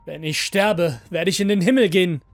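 A man playing an agitated, frustrated master of androids in an audio story, forceful and pleading. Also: high voice, normal speed, no room sound, soft background music, voice pushed up.

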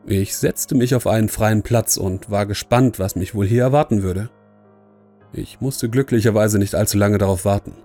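A man's voice: fairly low pitch, moderate pace, mellow, faint music behind. A man reading a scripted first-person horror story as its narrator.

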